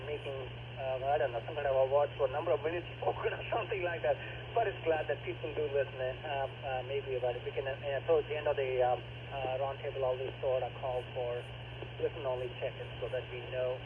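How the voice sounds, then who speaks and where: A man checking in on a ham radio net, talking hesitantly with lots of fillers and small chuckles, heard over a radio transmission. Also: fairly high pitch. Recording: phone-like ham radio transmission with audible hiss.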